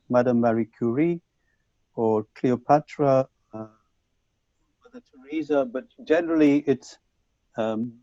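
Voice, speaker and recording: A man whose first language is Punjabi, giving an online lecture to teachers in a measured, reflective way with frequent restarts, heard over a video call. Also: medium pitch, warm tone, dry recording. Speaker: conference speaker. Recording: dull lo-fi video call audio.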